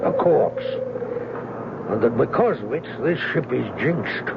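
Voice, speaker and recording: An actor in an old-time radio drama playing a grave, authoritative sea captain, formal and emphatic, with a gravelly voice. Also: male voice, medium pitch, expressive, unhurried. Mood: firm, official. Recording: tinny, very noisy old radio recording.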